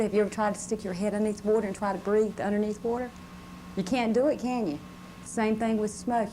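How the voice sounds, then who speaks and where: A woman's voice: mid-range voice, darkish tone, poor audio. A woman firefighter giving a simple safety talk to children, prompting them with questions, on an old VHS recording. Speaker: firefighter, fire safety educator. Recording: old VHS, faint room noise.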